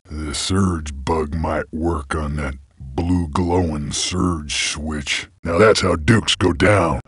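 An actor playing a gruff, laconic creature bounty hunter in a video game, with a gravelly voice and terse, folksy cowboy drawl. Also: male voice, very deep voice, dry recording, studio quality, full character voice. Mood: gruff, matter-of-fact. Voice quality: gravelly, husky. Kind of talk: video game character voice lines.

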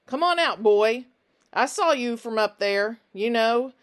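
A woman doing Finch, a loud, mocking menacing stranger. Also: fairly high pitch, expressive, unhurried, voice pushed up. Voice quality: intelligent but unfriendly voice. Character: large menacing stranger man, falsely friendly.

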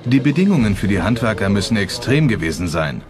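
A man's voice: on the low side, sing-song, fairly quick. A man giving expert commentary in a documentary, explanatory and matter-of-fact. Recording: music bed under the voice. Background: background music.

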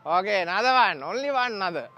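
High-voiced Sri Lankan coach barking short drills. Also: male voice, lively intonation, brisk, bright, forceful tone, dull audio. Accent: Sri Lankan English. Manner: short direct instructions in broken English, laughs often. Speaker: bowling coach. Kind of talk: coaching at net practice. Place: outdoor cricket nets at a training ground. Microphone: clip-on mic.

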